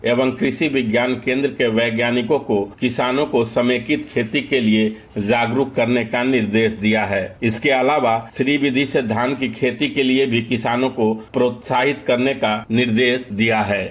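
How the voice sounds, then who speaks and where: A man, a radio correspondent, reading a scripted news report in a steady, even way. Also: medium pitch, measured pace, hardly any pauses.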